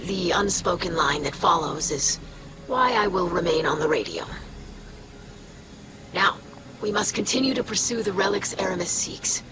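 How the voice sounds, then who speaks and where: A woman's voice: fairly low pitch, lively intonation, normal speed, long pauses, over loud music, close to an everyday voice, poor audio. An actress playing a principled young female alien scholar, formal and precise, in a video game cutscene. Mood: earnest, resolute.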